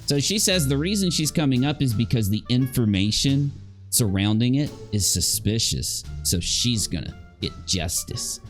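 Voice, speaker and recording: A man's voice: medium pitch, lively intonation, normal speed, soft background music. A man hosting a commentary show, reacting in a casual, critical way with a sarcastic, mocking and emphatic delivery. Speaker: YouTube commentary show host.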